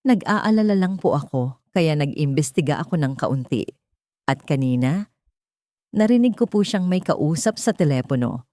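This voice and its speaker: A woman's voice: low voice, lively intonation, normal speed, warm tone, no room sound, clean studio sound. A woman voicing a scheming woman who speaks with hesitant, polite, feigned worry in an audio drama story. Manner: hesitant, polite, falsely anxious. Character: scheming woman feigning worried, deferential concern.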